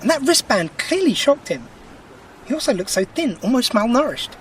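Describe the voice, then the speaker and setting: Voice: mid-range voice, sing-song, moderate pace, recorded from a distance, slight background noise, natural voice. An actor playing a quirky, wry time-travelling alien adventurer in an audio drama, chatty and given to grumbling. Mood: wry, suspicious.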